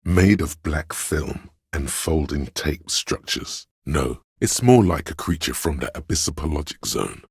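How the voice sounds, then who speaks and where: Inner voice of art, video game narration, rapturous, theatrical, gravelly bass, close dry recording. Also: male voice, lively intonation, a little slow, hardly any pauses, no echo, full character voice. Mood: brooding, poetic. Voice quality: very deep, gravelly, husky. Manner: theatrical, savouring, poetic phrasing. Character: inner voice of artistic imagination, grandiose, rapturous, snobbish aesthete. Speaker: game voice actor. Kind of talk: dramatic literary game narration.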